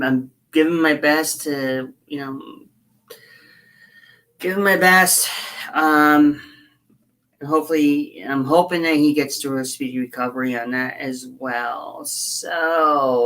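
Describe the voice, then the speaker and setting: A hoarse woman chatting casually on a livestream as she builds a scale model, rambling and halting with frequent fillers. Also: sits low, a bit bright. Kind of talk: casual livestream chat while working.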